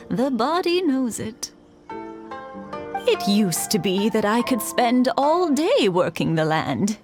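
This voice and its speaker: A woman voicing a kindly, weary elderly villager in an anime video game, gentle and grandmotherly. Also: on the high side.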